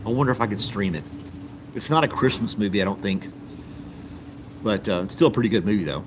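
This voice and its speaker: A man's voice: lowish voice, expressive, quick pace, background noise. A man from the United States hosting a live stream, chatting casually and reading viewer comments in an easygoing, rambling way with many ums.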